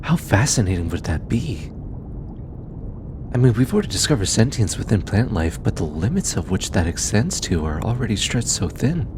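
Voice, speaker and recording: A man playing a gentle, earnest alien scientist in an intimate audio drama, bookish and easily flustered, with a hesitant, softly stammering delivery. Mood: fascinated. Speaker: voice actor. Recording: steady low rumbling background noise.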